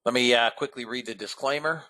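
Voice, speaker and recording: A man hosting a live trading webinar, talking casually to his viewers and calling them guys and fellas, a bit hoarse. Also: mid-range voice, brisk, pushed and bright. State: slightly hoarse voice. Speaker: trading room host.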